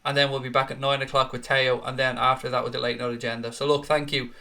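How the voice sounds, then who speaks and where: A man's voice: mid-range voice, level tone, fast talker, pushed and bright. A young Irish man hosting a casual live stream, chatting with viewers in an upbeat, talkative way with the odd swear. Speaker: host.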